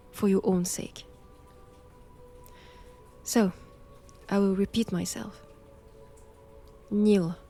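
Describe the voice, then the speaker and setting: A woman with a French accent playing a stern, cold vampire-hunting nun in an intimate audio roleplay, measured and commanding. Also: mid-range voice, vocal fry, darkish tone.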